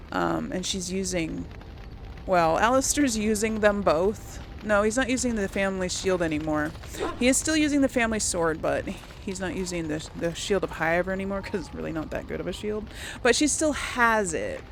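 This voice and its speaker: A woman's voice: mid-range voice, vocal fry, a little hiss or hum. A woman giving casual, rambling commentary on a video game, thinking aloud to her viewers. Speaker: host of a video game let's play.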